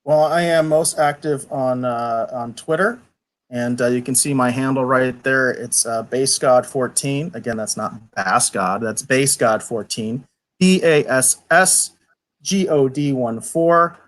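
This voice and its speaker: A man's voice: mid-range voice, unhurried, a bit bright. A man co-hosting a livestream in a casual, conversational style. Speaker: podcast co-host. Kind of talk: casual livestream chat, reading viewer comments.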